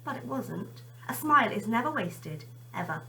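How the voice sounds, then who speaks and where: Woman primary-style storyteller and teacher: bright, animated, informal, slight hum behind. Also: fairly high pitch, normal speed, gravelly, distant mic, poor audio. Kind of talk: informal greeting and expressive children's story reading.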